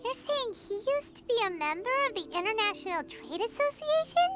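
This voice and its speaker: A tiny game companion with an extremely high, childlike voice. The delivery swoops wildly, cute, inquisitive and quick to bristle, with music playing underneath. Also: female voice, very animated. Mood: puzzled. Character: tiny childlike companion creature, chirpy, curious and indignant. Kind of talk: video game cutscene acting.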